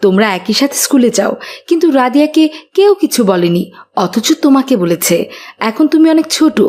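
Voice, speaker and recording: A woman voicing a gentle, caring mother who patiently teaches morals in a children's cartoon. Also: high voice, very animated, moderate pace.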